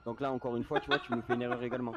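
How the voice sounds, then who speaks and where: Guy talking really fast in game voice chat, laying down the rules, firm. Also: medium pitch, level tone. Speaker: game server moderator. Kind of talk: casual voice chat in an online roleplay game.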